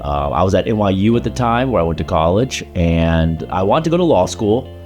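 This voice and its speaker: Man, low-pitched, halting and thoughtful, conversational interview, faint music underneath. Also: animated, darkish tone. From grew up in South Carolina, born in China. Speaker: law school alumnus, interviewee.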